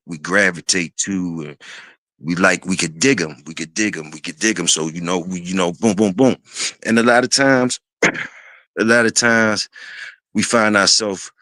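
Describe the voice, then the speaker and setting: Laid-back man in his fifties, low voice. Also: bright, forceful tone, no room sound. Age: 50s. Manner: laid-back, rambling, many fillers and restarts; clears throat often. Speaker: host. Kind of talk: casual reflective talk.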